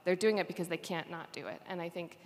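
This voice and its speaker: A woman with a slightly low voice talks casually as a panelist, slipping into imagined quotes to make her points. The hall adds a long reverb. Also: quick pace, a little hiss or hum.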